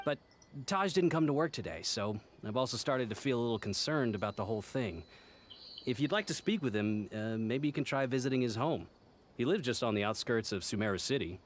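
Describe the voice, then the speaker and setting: A man's voice: medium pitch, animated, a little fast, a little hiss or hum, lightly acted. A man voicing a tired, patient office worker in a game. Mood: weary. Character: weary male office worker, mildly worried. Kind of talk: game character dialogue.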